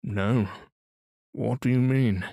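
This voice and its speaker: A man's voice: mid-range voice, takes it slowly, creaky, warm tone, dry recording, studio quality, voice pushed up. A man voicing an aggrieved widower under suspicion in an audiobook, mournful and affronted. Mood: shocked, indignant. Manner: murmuring grief, affronted outbursts. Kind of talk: audiobook character voice.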